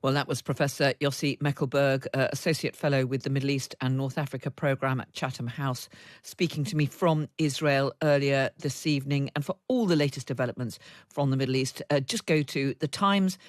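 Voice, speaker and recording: A man's voice: medium pitch, fairly even intonation, brisk, no room sound, studio quality. A man presenting news and putting questions to a guest in a broadcast interview style.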